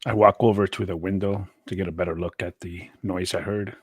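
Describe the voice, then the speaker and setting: A man joins in a tabletop roleplaying session. His low voice has a husky rasp and sounds dry, without room echo. He tells his character's actions in the first person. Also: flat delivery, fairly quick. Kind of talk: first-person game narration.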